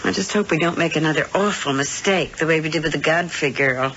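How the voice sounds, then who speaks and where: A woman's voice: sits low, fairly quick, fairly bright tone. An actress playing a genteel, prim, scheming wife who poses as a sweet motherly landlady in a 1970s radio drama. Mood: fussy, scolding. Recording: dull, noisy radio broadcast tape.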